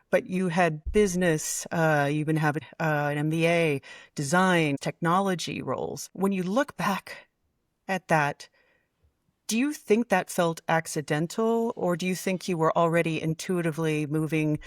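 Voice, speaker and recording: A woman hosting an interview podcast, speaking in measured, articulate phrases. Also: sits low, steady tone, dry recording, clean studio sound. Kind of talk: scripted introduction, then conversational interviewing.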